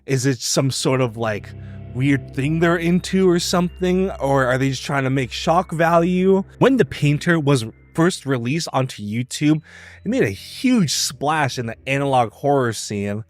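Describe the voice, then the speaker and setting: A man's voice: mid-range voice, lively intonation, unhurried. A man giving casual, opinionated video commentary in an informal, slangy way that is loose with swearing. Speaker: video essayist. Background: background music.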